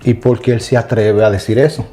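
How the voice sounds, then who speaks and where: Emphatic middle-aged man with a mellow voice. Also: medium pitch, steady tone, fairly quick, soft background music. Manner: emphatic, addresses listeners directly. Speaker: co-host of a Bible study channel. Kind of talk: casual religious discussion.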